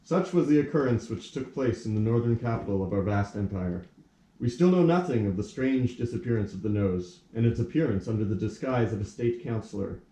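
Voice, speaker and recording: Mellow male storyteller in an echoey room. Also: fairly low pitch, lively intonation, a little hiss or hum. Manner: formal, measured storytelling. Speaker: narrator. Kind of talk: scripted storybook narration for puppet theatre.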